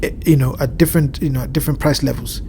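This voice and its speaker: A man with a blocked nose and a slightly nasal voice, walking through a chart with measured explanations and frequent pauses to find his words. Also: medium pitch, steady tone, a little mellow, a little hiss or hum. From Southern Hemisphere. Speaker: crypto market analyst. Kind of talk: screen-share chart walkthrough. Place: home at evening, indoors.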